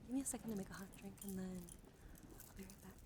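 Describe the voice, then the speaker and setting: A woman live-streaming ASMR, soothing and reassuring, moving between soft roleplay and casual chat with her viewers. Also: medium pitch, fast talker, background noise.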